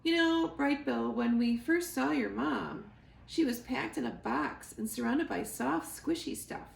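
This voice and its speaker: A woman's voice: fairly high pitch, expressive, a little slow, creaky, roomy sound, slight background noise, full character voice, low-quality recording. A woman voicing a friendly big female otter who chatters in a breezy, informal way in a storybook reading. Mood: chatty.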